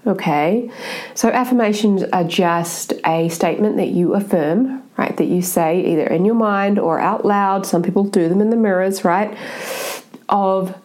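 A woman's voice: mid-range voice, unhurried, darkish tone. A woman with a blocked-up, congested nose, giving an earnest motivational talk on self-love and often asking "right?". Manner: earnest, keeps adding "right?". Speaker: self-help speaker.

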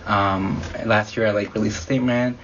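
A young man whose first language is Spanish, answering interview questions in an earnest, halting way full of "like". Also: lowish voice, fairly even intonation, fairly bright tone, lo-fi sound, slight background noise. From Mexican-American community. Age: young adult. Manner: earnest, halting, heavy use of "like". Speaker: interviewee, student.

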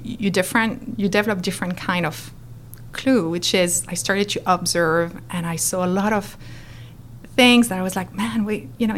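Expressive French-accented woman telling stories. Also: medium pitch, a little hiss or hum. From France. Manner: animated, expressive, acts out quotes. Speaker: guest. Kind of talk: storytelling interview.

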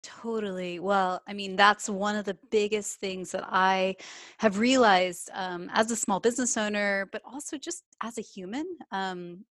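A woman's voice: mid-range voice, unhurried, a bit bright, no echo, clean studio sound. A woman from Texas with a Texan accent, chatting about her small business in a casual interview, upbeat and full of fillers. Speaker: small business owner and coach. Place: home, working remotely.

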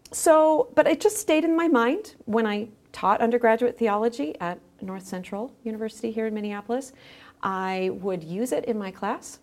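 A middle-aged woman talks as an interview guest with a scholarly bent. Her voice sits at a medium pitch, and she explains things thoughtfully in a conversational way. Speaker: guest, theology scholar with drama background. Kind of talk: thoughtful podcast interview talk.

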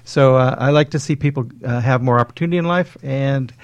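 A man's voice: medium pitch, a little fast, no room sound, highs cut off. A man explaining things conversationally as an expert guest in an interview. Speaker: guest, LinkedIn coach.

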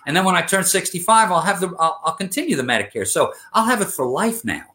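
A man's voice: high-pitched, sing-song, fast talker, bright, forceful tone. A man in his fifties giving an interview, speaking earnestly, with frequent fillers and restarts. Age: 50s. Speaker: interview guest. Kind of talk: conversational interview.

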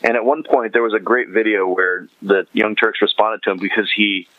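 A man's voice: fairly low pitch, fairly even intonation, fairly quick, no room sound, phone-quality sound. A man chatting casually as a podcast guest, slangy and often chuckling as he retells things. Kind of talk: casual chat, animated retelling.

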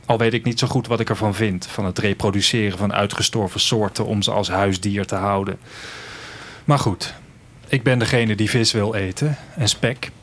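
A man's voice: on the low side, steady tone, moderate pace, a bit bright. An actor playing a reflective man of a future world, narrating a radio drama intimately in the first person. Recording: music under the voice. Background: music.

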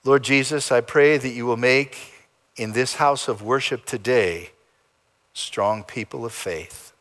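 A man preaching a sermon as pastor, in a dramatic style that swings between loud emphasis and soft, low endings. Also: unhurried. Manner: dramatic preaching with big swings in pitch and loudness. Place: church sanctuary.

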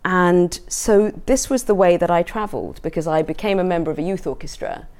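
A middle-aged southern English woman with a notably low voice. She gives a personal talk to an audience, speaking conversationally and reflectively, as an artist discussing her own practice. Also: animated, brisk, a little mellow. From England.

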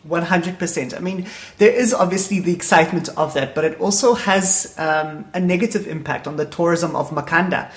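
A man's voice: on the high side, fairly quick. A man with a South African accent hosting a casual radio interview in an upbeat, chatty, effusive manner.

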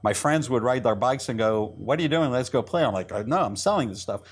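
Middle-aged guy from israel, hebrew native, talks quick in an interview, keeps restarting and repeating himself. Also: mid-range voice, animated, husky. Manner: fast, restarting, repeats words. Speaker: entrepreneur guest. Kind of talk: interview conversation.

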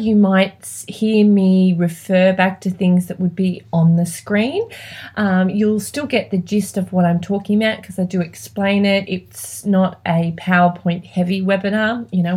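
Woman hosting, mid-pitched voice. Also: darkish tone. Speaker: podcast host and parenting coach. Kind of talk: informal solo presenting to listeners.

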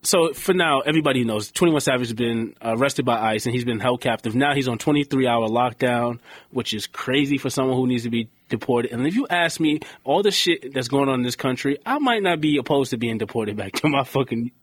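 Husky-voiced man in blunt profane chat. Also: mid-range voice, expressive, brisk, no echo. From Queens, New York. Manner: blunt, profane, rambling with restarts. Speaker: podcast co-host. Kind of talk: casual chat.

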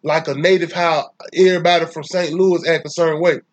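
A young man with a Southern Atlanta accent speaks in a voice pitched a bit above the usual male range. He talks in loose, rambling casual conversation, swearing freely and hammering his points with emphasis. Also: fairly even intonation, a little fast, highs cut off. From Atlanta, Georgia, US. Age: young adult.

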